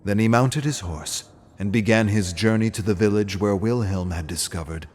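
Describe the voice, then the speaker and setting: A man giving a dramatic scripted narration of a dark horror folktale, grave and theatrical, often hushing at the ends of phrases. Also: fairly low pitch, moderate pace. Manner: grave, theatrical storytelling with hushed phrase endings. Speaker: narrator of game lore.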